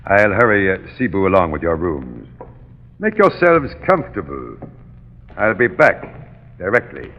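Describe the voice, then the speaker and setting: An actor playing a genteel, controlling older uncle in a gloomy castle, formal and theatrical in 1930s radio melodrama style. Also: male voice, deep voice, very animated, a little slow, heavily acted. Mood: grave, explanatory. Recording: dull, echoing 1930s radio recording.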